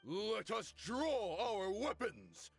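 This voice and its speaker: A man's voice: sits high, sing-song, extremely slow, gravelly, heavily acted. A man playing a proud, embittered desert warrior leader in a video game, speaking in a grandiose, theatrical manner. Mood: rallying, defiant. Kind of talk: dramatic video game acting. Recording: game music behind the voice.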